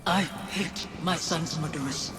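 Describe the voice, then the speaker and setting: A woman's voice: deep voice, a little slow. A woman playing a dead wife's ghost in a video game, wounded and proud, speaking with mournful deliberation. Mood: accusing, scornful. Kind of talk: dramatic video game acting. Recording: cinematic music behind. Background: music.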